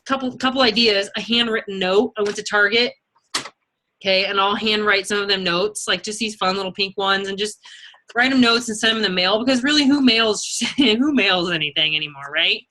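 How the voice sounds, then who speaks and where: A woman's voice: medium pitch, fairly quick, sharp tone. A woman leading a team as a fitness sales coach, talking casually and chattily in an informal motivational style. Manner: informal, chatty, peppered with okay and you know.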